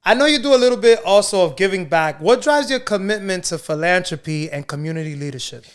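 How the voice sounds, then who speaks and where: A man with a Puerto Rican accent hosting a podcast interview, casual and profane with quick affirmations. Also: high-pitched, lively intonation, clean tone. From Puerto Rico. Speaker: podcast host.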